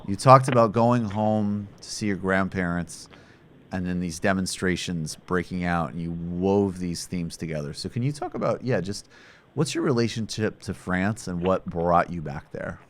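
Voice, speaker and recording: A male host with a very low voice leads a political interview. He asks long, rambling questions in an animated, sardonic tone. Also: moderate pace.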